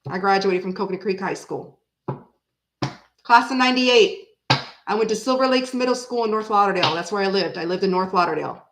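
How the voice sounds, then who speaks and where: A woman in her forties, raised in Florida and bilingual in French, with an American accent. She chats casually with live viewers, rambling and breaking into sudden animated bursts. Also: medium pitch, fairly quick, reverberant, noisy background. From Canada, raised in Florida. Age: 40s. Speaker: tarot reader chatting with live viewers. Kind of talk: casual livestream chat.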